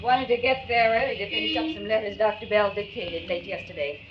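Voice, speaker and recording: An actress playing a kindly young secretary, polite, earnest and sympathetic, in 1940s radio drama style. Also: female voice, mid-range voice, a little fast, lightly acted. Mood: friendly. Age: young adult. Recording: dull, noisy 1940s radio transcription.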